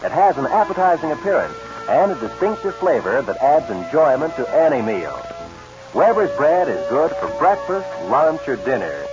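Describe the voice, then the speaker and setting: A man's voice: a little high, big pitch swings, moderate pace. A man reading a scripted 1940s radio advertisement with earnest, persuasive salesmanship, on a dull vintage recording. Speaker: commercial announcer. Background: music.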